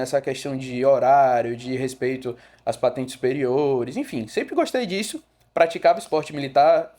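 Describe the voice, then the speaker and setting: A young man from Rio de Janeiro with a Bahian Brazilian accent, a photographer guest telling his story in a relaxed podcast chat with fillers and self-corrections. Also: medium pitch. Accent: Brazilian Portuguese, Bahia.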